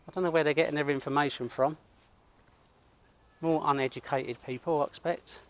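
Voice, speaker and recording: A middle-aged man hosting a vlog monologue, speaking in blunt, grumbling, deliberate phrases. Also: a little high, fairly even intonation, fairly bright tone. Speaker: host of an online video channel.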